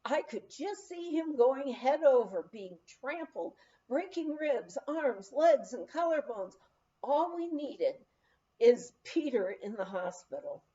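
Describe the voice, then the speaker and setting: Expressive, unhurried woman reading a memoir. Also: on the high side, highs cut off. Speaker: audiobook reader.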